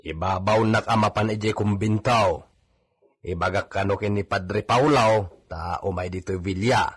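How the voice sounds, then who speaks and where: Husky, low-voiced Ilocano villa servant. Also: male voice, measured pace. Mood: confiding. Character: gossipy, matter-of-fact male villa servant. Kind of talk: radio drama acting.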